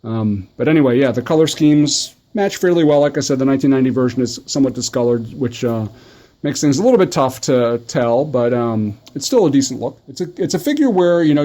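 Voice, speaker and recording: A man's voice: medium pitch, lively intonation, quick pace, warm tone. A middle-aged man giving a casual, conversational video review, talking in a rambling enthusiast's way. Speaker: toy review host.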